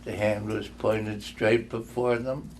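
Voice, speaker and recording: An older man giving a dramatic storybook reading in a measured, halting manner, sometimes stumbling and repeating words. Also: fairly low pitch, level tone, darkish tone, a little hiss or hum. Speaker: reader.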